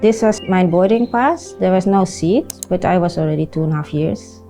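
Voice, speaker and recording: A woman in her forties gives a personal account in a low-set, soft-edged voice. Her English has a Dutch accent and comes haltingly, with uneven grammar. Faint music plays underneath. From Netherlands. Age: 40s. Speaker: interviewee, non-profit founder.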